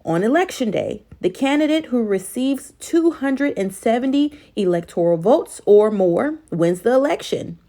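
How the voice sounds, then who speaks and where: Woman acting an instructive male teacher, animated. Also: fairly high pitch, moderate pace, few pauses. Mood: explanatory. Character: male schoolteacher explaining election rules to children.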